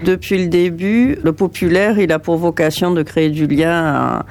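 A woman coordinating a community cooking project, explaining it slowly with many filler pauses. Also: on the low side, a little mellow. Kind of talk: interview in a kitchen.

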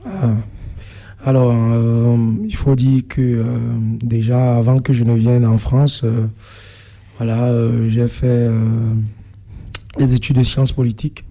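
A young man with a Cameroonian accent tells his own story as an interview guest. His voice is very soft-edged and dark, with little movement in pitch. He talks haltingly, filling the gaps as he searches for words. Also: fairly even intonation. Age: young adult. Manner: hesitant, halting delivery with frequent filled pauses. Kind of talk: personal account in an interview.

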